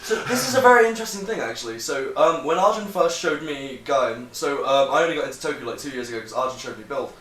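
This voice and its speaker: A man's voice: medium pitch, expressive, quick pace, few pauses, fairly bright tone. A man chatting casually with friends as host, giving sweary, opinionated takes. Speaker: host of a friends' tier-list discussion. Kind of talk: casual chat. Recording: roomy echo, clearly noisy background.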